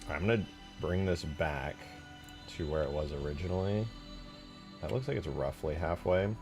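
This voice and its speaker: A man with a low voice talks through his work on a livestream, loose and slangy, with jokey asides and a readiness to get excited. He thinks aloud as he goes, and music plays clearly behind him. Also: normal speed. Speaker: live streamer and game modder. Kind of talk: casual livestream chat and screen tutorial.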